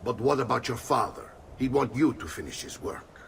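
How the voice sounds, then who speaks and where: An actor playing a jovial, blustering middle-aged Italian uncle in a video game, with an Italian accent and a hearty, theatrical manner. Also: male voice, mid-range voice, expressive, normal speed, vocal fry, fairly bright tone, a little hiss or hum. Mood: earnest. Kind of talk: video game cutscene acting.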